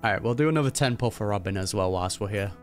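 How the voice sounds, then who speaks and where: A man's voice: on the low side, fast talker, faint music behind. A man giving casual, slangy, off-the-cuff commentary as he reacts to a video game. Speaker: gaming reaction host.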